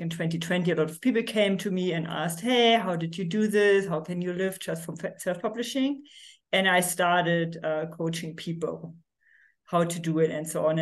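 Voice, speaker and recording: A woman from Germany with a German accent, hosting a casual webinar over a video call, chatty and full of fillers. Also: low voice. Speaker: webinar host, publishing agency founder.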